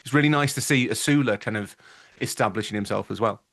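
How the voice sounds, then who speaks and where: A man's voice: on the low side, fast talker, vocal fry, a bit bright. A man giving conversational football analysis as a pundit, musing aloud and qualifying his points as he goes.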